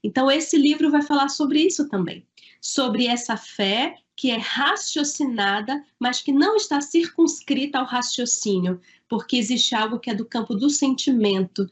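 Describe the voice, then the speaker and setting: A woman from Salvador, an author answering interview questions in an earnest, thoughtful way with the odd halting restart. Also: high-pitched. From Salvador, Bahia, Brazil. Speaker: author and guest.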